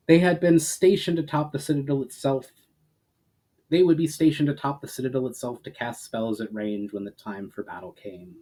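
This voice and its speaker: A man's voice: medium pitch, darkish tone, low-quality recording. A man narrating a fantasy story in a dramatic storytelling style, occasionally stumbling over a word. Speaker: author and narrator reading his fantasy novel aloud.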